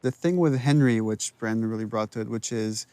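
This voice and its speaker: A man's voice: fairly low pitch, a little fast, a little mellow, smooth, dry recording. A man giving casual behind-the-scenes commentary as a writer and director discussing his work.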